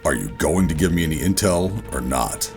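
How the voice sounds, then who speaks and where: Man playing a very deep-voiced, bitter covert operative. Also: expressive, fairly quick, creaky, exaggerated voice. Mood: grim, resigned. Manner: defiant, brooding. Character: bitter, defiant male covert operative, reluctantly back in service. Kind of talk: sci-fi audio drama acting with first-person narration. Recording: music bed under the voice. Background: music.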